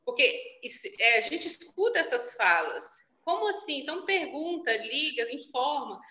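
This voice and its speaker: Uma mulher numa chamada de vídeo, com voz alta e aguda e um timbre brilhante. Ela fala depressa e com seriedade, num tom de conversa. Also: female voice, high voice, sing-song, sharp tone. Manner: quick, earnest, hedging with "né?". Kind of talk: casual panel discussion. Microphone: video call.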